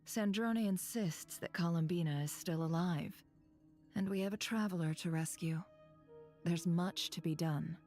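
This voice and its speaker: An actress plays a haughty, exacting female boss in a game. Her voice sits fairly low and her delivery is clipped and businesslike. Soft music runs underneath. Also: unhurried, natural voice. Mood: stern, exasperated. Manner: curt, haughty. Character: cool, exacting woman boss, sharp-tongued and businesslike. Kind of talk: video game voice acting.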